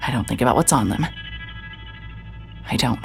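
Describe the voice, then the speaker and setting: An actress playing a hard-hearted, sardonic private investigator, narrating in a wry, self-mocking way. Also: female voice, rumbling low, animated, moderate pace, gravelly, soft background music, full character voice. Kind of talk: first-person scripted narration.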